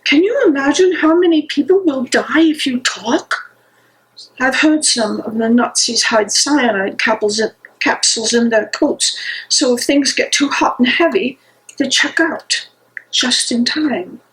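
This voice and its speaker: A woman playing a despairing, weary dissident in a dramatic stage reading, delivering the lines in a deliberate, halting way. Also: sits high, fairly bright tone, poor audio. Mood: fearful, resigned. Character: despairing, weary dissident haunting a captured comrade.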